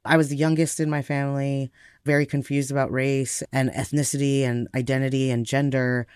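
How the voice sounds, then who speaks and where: A man's voice: mid-range voice, flat delivery, a little fast, a little mellow, smooth, no room sound. American man reflecting in a dry-sounding interview. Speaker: podcast interview guest. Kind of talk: reflective personal storytelling in conversation.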